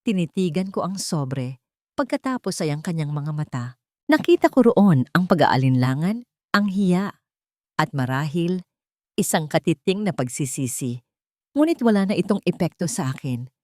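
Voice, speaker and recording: A woman with a Filipino accent narrating a dramatic first-person story in measured, solemn phrases. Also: fairly low pitch, very animated, darkish tone, no echo, clean studio sound. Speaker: audiobook narrator.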